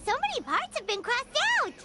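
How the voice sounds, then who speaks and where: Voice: very high-pitched, wildly swooping pitch, a little fast, exaggerated voice. An actress voicing a small, curious female companion in a video game, asking question after question. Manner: questioning, inquisitive. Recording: game audio with loud music.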